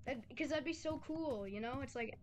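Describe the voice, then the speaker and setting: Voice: sits high, moderate pace. A young gamer giving casual, rambling gameplay commentary full of slang and filler "like", recorded at home. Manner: rambling, slangy, uses "like" constantly. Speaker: gaming video creator. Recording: heavy noise, clicks.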